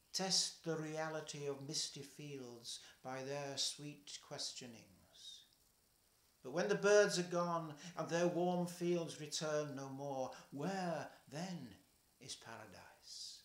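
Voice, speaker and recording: An older man reading a poem aloud at home, slow and measured, his voice falling soft at the ends of lines. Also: fairly high pitch, roomy sound. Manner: slow, measured, trailing softly at line ends. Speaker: poetry reader. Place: home kitchen, indoors.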